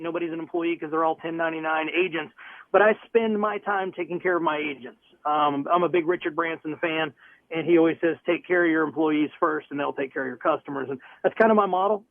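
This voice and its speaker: An upbeat, earnest man from Southwest Missouri talks plainly about his business as a radio guest. His voice sits a little high. It comes through a tinny, dry phone line. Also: fairly even intonation, brisk, no room sound. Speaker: real estate broker, guest. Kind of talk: radio interview answers. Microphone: telephone.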